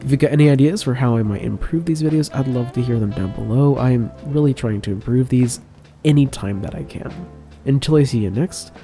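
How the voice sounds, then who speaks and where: A man's voice: mid-range voice, brisk, mellow, soft background music. Congested man explaining game rules. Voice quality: nasal. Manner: enthusiastic, plain explaining. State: congested from a cold. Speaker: game guide creator. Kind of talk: explanatory voice-over over slides. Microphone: new microphone.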